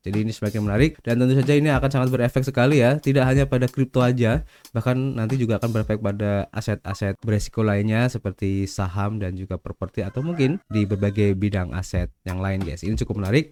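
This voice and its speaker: A man's voice: fairly low pitch, dark tone, a little hiss or hum. A man with an Indonesian accent, hosting a casual crypto news roundup with a stuffy nose from a cold, peppering his lines with "ya" and "guys". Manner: chatty, adds "ya" and "guys" to most lines. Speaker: crypto news channel host.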